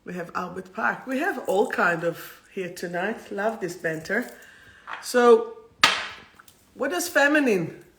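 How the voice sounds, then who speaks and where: Israeli accent lady, kinda high voice, chatty and playful, rambles along hosting a livestream. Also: fairly bright tone. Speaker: host of a livestream soul talk. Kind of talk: chatty livestream spiritual talk.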